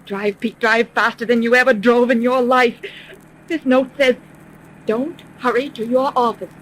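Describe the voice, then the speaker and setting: A woman's voice: fairly high pitch, fairly even intonation, unhurried. An actress playing a decisive woman private detective in a 1930s radio serial, with a dramatic, urgent manner. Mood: urgent, excited. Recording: noisy 1930s radio recording.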